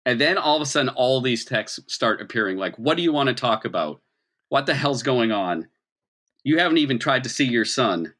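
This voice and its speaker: Game master, male, bright and forceful tone, casual improvised narration with a halting delivery. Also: mid-range voice, expressive.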